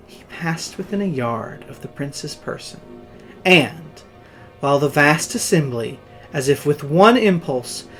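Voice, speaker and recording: Theatrical male horror narrator, very animated pitch. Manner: theatrical, measured phrasing with strong swells and drops in volume. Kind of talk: dramatic scripted Gothic horror narration. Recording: music under the voice.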